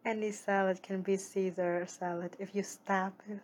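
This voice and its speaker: A woman's voice: medium pitch, little pitch movement, normal speed, darkish tone. A young woman, whose first language is not English, chatting casually and reading viewer comments with frequent giggles and rambling restarts. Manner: giggly, rambling, self-correcting. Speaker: streamer.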